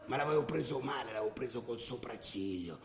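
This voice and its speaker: An actor playing a boastful, hot-headed football ultra telling of his old brawls in a colloquial radio drama monologue, swinging from loud outbursts to low asides. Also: lively intonation, brisk, crowd noise, lightly acted. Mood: heated storytelling. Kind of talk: dramatised first-person monologue, colloquial storytelling. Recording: roomy radio drama recording.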